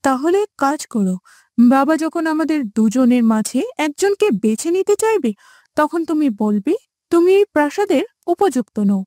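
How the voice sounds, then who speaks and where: A woman's voice: high-pitched, animated, normal speed, warm tone, no echo, studio quality. A woman voicing a jealous, scornful young princess in a cartoon fairytale, with a sarcastic, mocking manner.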